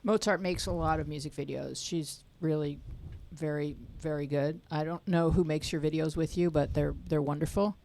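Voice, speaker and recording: A woman hosts the conversation, drawing out questions from young listeners with encouraging prompts. Her voice is very low with a strong gravelly fry. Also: fairly even intonation, moderate pace, hardly any pauses, darkish tone, slight background noise. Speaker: podcast host. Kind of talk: hosting a youth interview.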